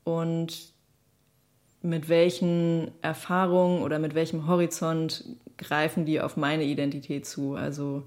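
A woman's voice: low voice, level tone, unhurried, darkish tone. A woman answering reflectively in an interview, hesitant and thoughtful.

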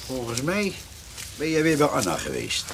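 A man's voice: medium pitch, wildly swooping pitch, normal speed. An actor playing a wry, stubborn countryman with a dry, blunt, teasing way of talking in a radio drama. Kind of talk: naturalistic radio drama acting. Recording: noisy radio play recording.

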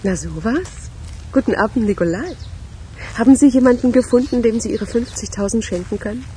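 Radio thriller role: actress as a cool, inquisitive, ironic woman, dark relaxed tone. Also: a little high, fairly quick, slight background noise, lightly acted. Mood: amused, teasing. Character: self-possessed, teasing woman, dryly ironic and inquisitive. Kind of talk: German radio crime drama acting.

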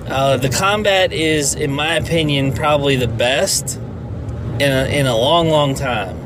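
A man's voice: mid-range voice, background noise. A man hosting a casual solo podcast, rambling and swearing, with a nasal, stuffy voice. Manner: profane and rambling. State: stuffy from allergies. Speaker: podcast host.

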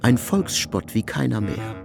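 A man's voice: medium pitch, sing-song, measured pace, gravelly, music in the background. A man reading scripted literary narration as the narrator of a radio drama.

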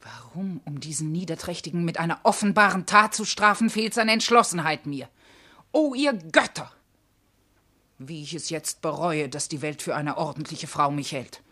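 An actress playing a resentful, frustrated wife, lamenting theatrically in a classical German radio play. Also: female voice, low-pitched, animated, normal speed, bright, forceful tone, full character voice. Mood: bitter regret. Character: resentful, frustrated wife regretting her virtue.

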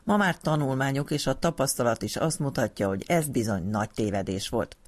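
A man's voice: mid-range voice, lively intonation. A man reading scripted narration as a radio reporter.

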